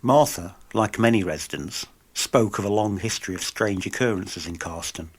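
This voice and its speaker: Low-voiced solemn male mystery narrator. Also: moderate pace. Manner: solemn, dramatic. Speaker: podcast narrator and investigator. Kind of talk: scripted true-crime narration.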